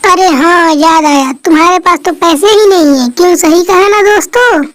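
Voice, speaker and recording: A woman acts a sarcastic female pigeon in a children's cartoon. The voice is pitched very high and taunts with a teasing manner. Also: moderate pace, fairly bright tone. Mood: mocking. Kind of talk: children's cartoon story acting.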